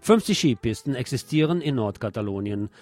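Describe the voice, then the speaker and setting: A man's voice: mid-range voice, expressive, brisk, darkish tone, soft background music. A man presenting scripted radio news commentary, with opinionated, wry asides.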